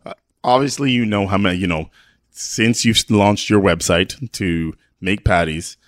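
A man's voice: lowish voice, a little slow, dry recording, clean studio sound. A man hosting a radio show in a casual, conversational interview style.

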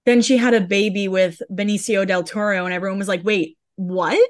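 A woman's voice: medium pitch, fairly even intonation, a little fast. A woman co-hosting a podcast, chatting and reading out celebrity blind items in a casual way. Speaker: podcast co-host. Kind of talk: casual celebrity gossip chat.

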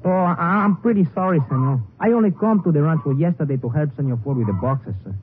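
An actor playing an eager, deferential Mexican ranch laborer with a Mexican accent and halting, repetitive English in a 1950s radio western. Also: male voice, a little high, animated, lightly acted. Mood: eager, polite. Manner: hesitant, repetitive, broken English. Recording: tinny, noisy 1950s radio recording.

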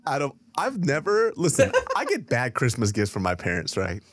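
Podcast cohost dude, jokey and dramatic, swears nonstop, dry close sound. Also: male voice, medium pitch, wildly swooping pitch, moderate pace, no room sound. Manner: joking, swears a lot, dramatic. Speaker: podcast co-host. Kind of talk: casual chat. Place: podcast studio. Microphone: studio microphone.

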